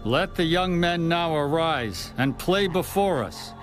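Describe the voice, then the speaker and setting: High-voiced, urgent ancient army commander. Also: male voice, expressive, slow, fairly bright tone, faint music behind. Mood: commanding. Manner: declamatory, archaic phrasing. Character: male army commander, pleading, grave, urgent. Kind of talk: dramatized scripture acting.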